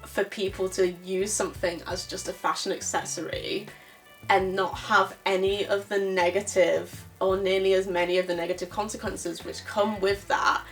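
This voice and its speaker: A woman's voice: mid-range voice, little pitch movement, moderate pace. Female panel speaker, candid explainer giving opinions informally, slightly distant mic with music underneath. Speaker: panel speaker answering audience questions.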